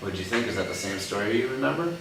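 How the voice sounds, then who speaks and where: A man with a slightly low voice reads a children's story aloud, stopping often to coax answers from his young listeners. He sounds patient and encouraging. The recording comes from across a very echoey room, with a noticeable hiss of background noise. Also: expressive, quick pace, vocal fry, recorded from a distance. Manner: patient, coaxing, checks in with "right?". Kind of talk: picture-book read-aloud with questions.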